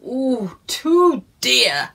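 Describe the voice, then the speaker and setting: A woman voicing a gruff, curt and grudging old husband in a children's storybook. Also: high voice, sing-song, drawn-out words, fairly bright tone, full character voice. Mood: gruff, dismissive.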